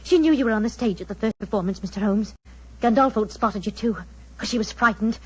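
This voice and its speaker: An actress playing a defensive, suspicious, easily frightened magician's assistant in dramatic 1940s radio style. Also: female voice, fairly high pitch, fast talker, slightly rough. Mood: frightened, pleading. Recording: dull, muffled 1940s radio recording.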